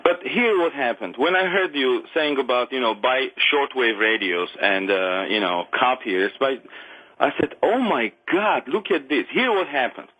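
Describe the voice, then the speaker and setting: A middle-aged man from Armenia whose first language is Armenian, speaking English with an Armenian accent. He calls in to a radio show and talks earnestly, haltingly, with many restarts and non-native grammar. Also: medium pitch, animated, pushed and bright, highs cut off. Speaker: caller. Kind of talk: call-in radio conversation.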